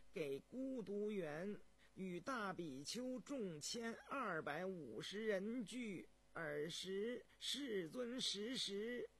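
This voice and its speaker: A man voicing a devout palace eunuch in an audiobook, chanting Buddhist scripture in slow, drawn-out, measured phrases. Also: sits high, vocal fry, slightly rough, voice pushed up, heavily acted. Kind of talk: sutra recitation within an audiobook.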